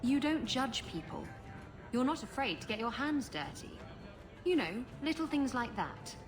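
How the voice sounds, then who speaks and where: An actress playing a roguish, flirtatious pirate captain in a video game, teasing and playful. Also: female voice, a little high, sing-song, unhurried. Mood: teasing, relaxed. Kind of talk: bantering game dialogue. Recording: music behind the voice.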